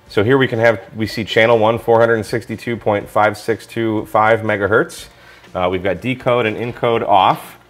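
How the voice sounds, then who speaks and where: A man talking informally through a product review, explaining things step by step in a casual way. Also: fairly low pitch.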